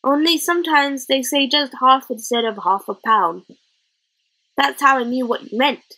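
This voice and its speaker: A woman's voice: sits high, moderate pace, pushed and bright, heavily acted. Woman doing a cheerful small boy who was a rat. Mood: earnest. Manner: chatty, earnest, working-class grammar.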